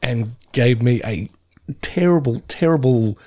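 A middle-aged man co-hosts a podcast in a mid-range voice that swoops up and down with lively intonation. He tells jokey, animated stories, full of ums and uhs. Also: mid-range voice, a little slow. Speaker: podcast co-host. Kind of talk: casual podcast chat.

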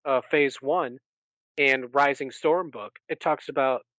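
A man's voice: medium pitch, steady tone, a little slow, a bit bright, dry recording. Enthusiastic, rambling man, dry slightly dull recording. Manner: rambling, enthusiastic retelling with acted-out asides. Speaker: podcast host. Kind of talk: casual book recap discussion.

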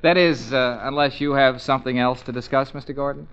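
An actor playing a wry, commanding private detective who is quick to take charge, in 1940s radio drama style. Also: male voice, medium pitch, fairly even intonation, a bit bright, highs cut off, close to an everyday voice. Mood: smooth, sly. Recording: vintage radio drama recording.

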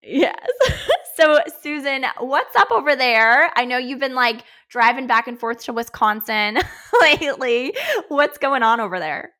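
A woman co-hosting a casual podcast, upbeat and quick to laugh as she chats. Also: sits high, bright, forceful tone. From Pennsylvania. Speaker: podcast co-host.

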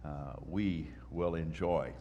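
A pastor with a congested voice from a sinus infection welcomes the congregation in church and leads them in prayer with earnest, steady delivery. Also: male voice, very deep voice, slow pace, slight background noise. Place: church sanctuary. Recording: long church-hall reverb.

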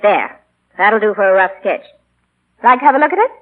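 A young woman acting in 1940s radio style as a self-assured artist, brisk, bantering and teasing. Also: medium pitch, expressive, natural voice. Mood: brisk, amused. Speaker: radio actress. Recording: tinny, band-limited 1940s radio broadcast.

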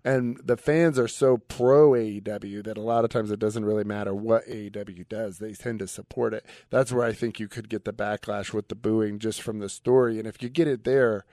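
A man's voice: lowish voice, steady tone, normal speed, hardly any pauses, warm tone, no room sound, clean studio sound. A man co-hosting a radio show, giving his opinions in casual sports talk. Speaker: radio co-host.

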